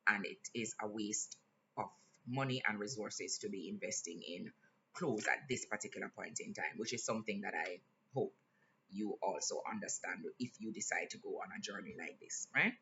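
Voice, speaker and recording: A man with a Jamaican accent talking in a casual vlog, earnest and candid, pausing often with fillers. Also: on the low side, vocal fry, pushed and bright. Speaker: vlogger. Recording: slightly dull lo-fi sound, faint hiss.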